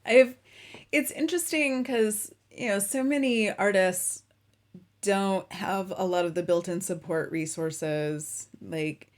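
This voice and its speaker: A woman's voice: medium pitch, slow pace. A woman hosting a casual interview, chatty and easygoing, asking long rambling questions. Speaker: interview host.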